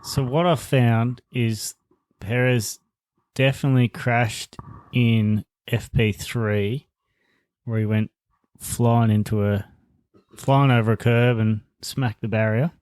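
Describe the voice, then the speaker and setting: The second guy on a homemade-feeling sports podcast, mid-pitched, informal and a bit unsure, with no room echo around him. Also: steady tone, takes it slowly, darkish tone, smooth. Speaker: podcast co-host. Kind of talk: casual sports chat.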